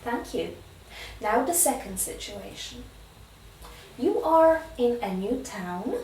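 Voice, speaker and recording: A woman with a high, very lively voice runs a spoken English exam, giving clear, measured prompts at an unhurried pace. Her voice sounds roomy and echoing, as if the mic sits some distance away. Faint background noise is heard under the talk. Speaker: exam interviewer.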